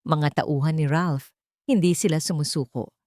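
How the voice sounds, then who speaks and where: A woman's voice: deep voice, fairly even intonation, normal speed, darkish tone, no room sound, studio quality. A woman reading a scripted dramatic story as an audiobook narrator.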